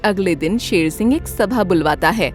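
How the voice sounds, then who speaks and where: A woman reading scripted narration as the storyteller of an animated fable. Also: medium pitch, moderate pace, faint music behind.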